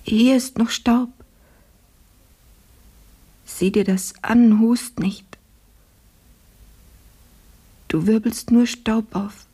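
Radio play: frail, ailing young maid, self-effacing, flat and slow, breathy near-whisper. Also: female voice, fairly high pitch. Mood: feverish, dreamy. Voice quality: husky, breathy, often falling to a whisper. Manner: slow, subdued, halting. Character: gravely ill young maidservant Marie, weary and self-effacing. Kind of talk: literary radio drama acting.

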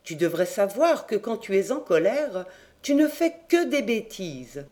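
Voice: medium pitch, big pitch swings, measured pace, darkish tone, pitched above the performer's own voice. A reader voicing an older queen who is shrewd and scolding toward her husband. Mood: chiding.

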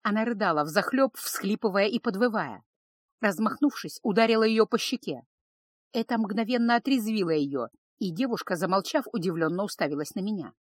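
Female fiction narrator, medium pitch, dramatic storytelling delivery, very dry recording. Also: lively intonation, a little fast, no echo. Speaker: audiobook narrator. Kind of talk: dramatic first-person scripted narration.

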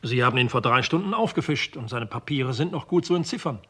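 A dutiful police sergeant in a 1960s radio crime play. He reports matter-of-factly in a husky voice of medium pitch. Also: lively intonation, quick pace, bright, forceful tone. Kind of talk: 1960s German radio crime drama acting.

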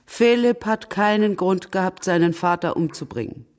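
A woman playing a brisk older spinster aunt in a radio crime drama, confiding family matters matter-of-factly. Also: lowish voice, lively intonation, a little mellow, close to an everyday voice.

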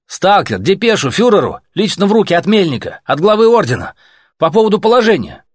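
A man's voice: extremely high pitch, sing-song, fast, slightly rough, no echo, studio quality, pitched above the performer's own voice, exaggerated voice. A man doing a wheezing, agitated soldier. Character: wheezing, puffing male soldier, anxious.

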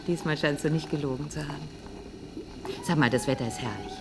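A woman's voice: low-pitched, brisk, vocal fry, noisy background. An actress playing a probing, teasing female marine biologist in a radio drama, speaking wryly and pointedly. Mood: playful. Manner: wry, teasing, insistent questioning. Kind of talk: 1980s radio drama acting.

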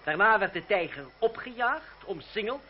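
An actor playing a bold lawyer in a radio play, speaking formally and with ironic courtesy. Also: male voice, high-pitched, expressive, moderate pace. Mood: coolly menacing. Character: bold young male lawyer, coolly defiant and pointed. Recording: dull old radio recording, faint noise.

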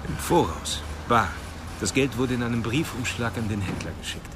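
Sardonic, clipped police detective played by a man, medium pitch, radio crime play with clear outdoor noise. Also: animated, normal speed, close to an everyday voice. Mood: dry, sardonic. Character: sardonic male police detective, brisk and factual. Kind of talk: German radio crime drama acting. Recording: noisy outdoor traffic background.

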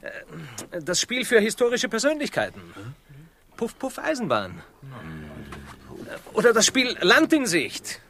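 High-voiced, excitable ship's admiral. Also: male voice, slow, pauses often, heavily acted. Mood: cajoling. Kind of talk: comic radio play acting.

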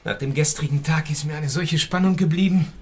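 An actor playing an earnest, restless scholar and expedition assistant, speaking politely in a radio drama. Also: male voice, a little high, brisk, a little mellow, a little hiss or hum, natural voice.

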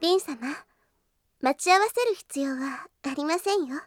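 A woman's voice: high-pitched, animated, measured pace, faint music behind. A woman playing a demure, devoted, very polite young heroine in a Japanese anime audio drama, speaking hesitantly. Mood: calm, polite. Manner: very polite, hesitant, apologetic speech.

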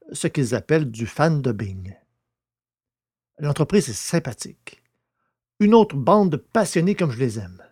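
A man hosting a podcast and narrating a science fiction audio novel, in a clear, even storyteller's reading. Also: fairly high pitch.

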